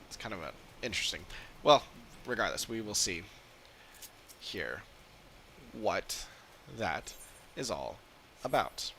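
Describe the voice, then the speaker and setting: A man's voice: fairly low pitch, sing-song, slow, pauses often, pushed and bright, slight background noise. A man doing casual livestream commentary as he plays a game, musing and rambling as he thinks aloud. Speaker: game streamer.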